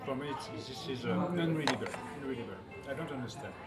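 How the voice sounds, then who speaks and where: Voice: medium pitch, expressive, fast talker. An interviewer in a casual chat who hesitates, repeats words and asks questions. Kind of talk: casual conversation. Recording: loud music behind the talk.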